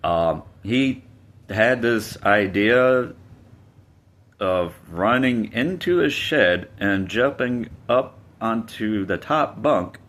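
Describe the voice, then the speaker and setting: A man with a deep, low-set voice recounts a personal story in a casual, unhurried way. He hesitates often and restarts phrases, filling pauses as he goes. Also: expressive, a bit bright.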